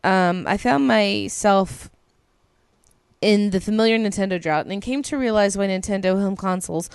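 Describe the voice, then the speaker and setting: A woman's voice: mid-range voice, moderate pace, creaky, smooth, no echo, clean studio sound. A woman co-hosting a podcast, reading listener emails aloud in a casual, gushing way that is peppered with swearing and ums. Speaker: podcast co-host.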